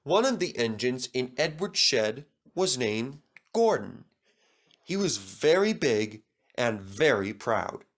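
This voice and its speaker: A man narrates a children's storybook at an unhurried, slow pace. His delivery is very animated, rising and falling widely in pitch as a storyteller reading from a script.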